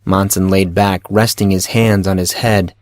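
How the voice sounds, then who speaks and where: A man's voice: low voice, level tone, unhurried, dry recording, studio quality. A man reading scripted narration for a fantasy audio story as its narrator.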